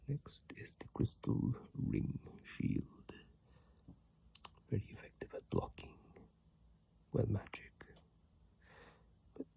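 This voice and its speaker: ASMR creator: slow, gravelly-edged breathy whisper, informal lore reader, close phone mic. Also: medium pitch, wildly swooping pitch, rough recording. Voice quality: breathy whisper, mostly unvoiced. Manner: hushed, unhurried, informal with asides. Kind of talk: whispered ASMR reading and commentary on video game lore. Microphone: close iPhone mic.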